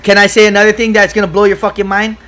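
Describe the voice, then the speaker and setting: A man from Hawaii talking in a casual podcast chat, excitable and profane, rambling from one point to the next. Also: high voice, racing. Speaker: podcast guest.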